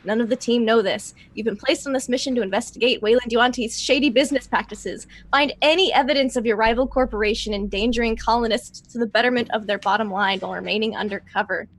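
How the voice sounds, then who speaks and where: High-pitched woman chatting about a tabletop game. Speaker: tabletop roleplaying player. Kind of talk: casual post-game chat with read-out notes.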